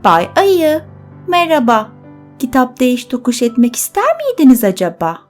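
A woman's voice: high voice, wildly swooping pitch, normal speed, faint music behind, voice pushed up. A woman voicing a polite, cheery little rabbit neighbour in a children's story, with sing-song requests. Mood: cheerful, polite.

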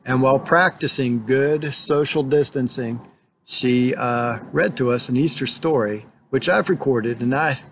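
A man's voice: mid-range voice, a little slow. Middle-aged man, informal introduction, faint noise. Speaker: church representative introducing a video. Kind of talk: informal spoken introduction to camera.

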